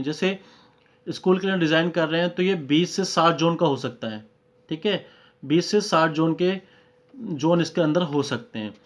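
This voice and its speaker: Higher-pitched Indian man teaching, dull recording. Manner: repeatedly checks understanding with short tag phrases; mixes English technical terms into speech. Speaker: technical instructor. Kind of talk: lesson, explaining slides step by step.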